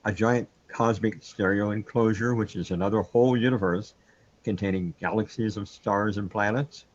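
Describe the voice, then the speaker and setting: Deep, husky, brooding man, played by an actor. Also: fairly even intonation, moderate pace, close to an everyday voice. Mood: bewildered. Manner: brooding, hesitant, questioning. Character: troubled, self-doubting man trapped alone in a surreal universe. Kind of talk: surreal sci-fi radio drama monologue. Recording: dull, lo-fi audio.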